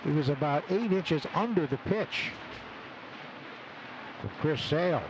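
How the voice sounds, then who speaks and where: A man's voice: a little high, measured pace. A man doing live radio baseball play-by-play in clipped short phrases. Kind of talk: live radio sports commentary. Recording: noisy outdoor ballpark radio broadcast. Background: steady ballpark noise.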